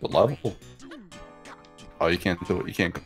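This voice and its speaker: A woman's voice: rumbling low, normal speed, faint music behind. A woman streaming herself playing a video game, chatting casually, swearing, laughing, and reading on-screen text aloud from her home setup on a headset mic. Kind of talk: casual let's-play commentary.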